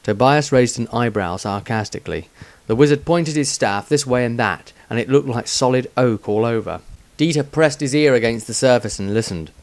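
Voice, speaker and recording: Male narrator, medium pitch, dramatic fantasy audiobook reading, measured, suspenseful, very dry recording. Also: no echo. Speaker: author reading his own story.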